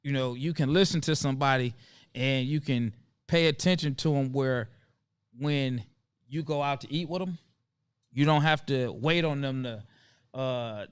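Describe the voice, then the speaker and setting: A man's voice: mid-range voice, clear, resonant tone, no room sound, studio quality. Laid-back man hosting a live chat. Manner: laid-back, calls out viewers by name, drawn-out emphasis. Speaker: livestream host. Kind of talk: casual livestream chat.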